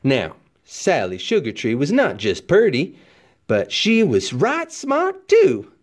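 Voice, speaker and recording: A man's voice: a little high, huge pitch swings, takes it slowly. A man narrating a children's storybook expressively, with folksy, dramatic emphasis like a teacher reading aloud.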